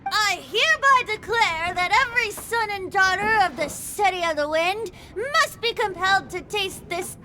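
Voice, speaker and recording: A woman voicing a giddy, high-spirited young character in a video game dub, gushing and theatrical. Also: expressive, normal speed, few pauses, sharp tone, slight background noise, exaggerated voice. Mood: tipsy, merry. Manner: gushing, dramatic.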